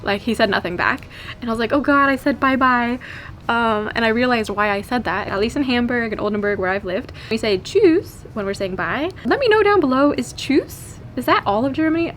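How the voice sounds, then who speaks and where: A young American woman from California, chatting to camera in a lively, giggly vlog. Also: a little high, brisk, a little hiss or hum. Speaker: vlogger. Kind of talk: casual chat to camera.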